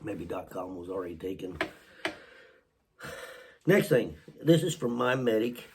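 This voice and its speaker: A man hosting a casual unboxing vlog while sick with a cold, hoarse, halting, often clearing his throat, with a Southern US accent. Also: low-pitched, expressive, heavy background noise. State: sick with a cold, voice partly lost. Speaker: channel host.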